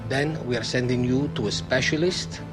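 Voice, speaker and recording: A man's voice: mid-range voice, natural voice. Man acting firm, formal football official, music behind. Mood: grave, sympathetic. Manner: formal, measured wording. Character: firm, caring male football team official. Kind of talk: video game cutscene acting. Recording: game dialogue over music.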